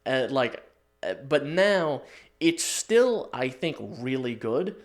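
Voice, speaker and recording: A man's voice: medium pitch, sing-song, slow. Male, early twenties, mid-range voice. Podcast banter, slangy, foul-mouthed, joking. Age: early 20s. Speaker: podcast co-host. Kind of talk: casual banter with a friend.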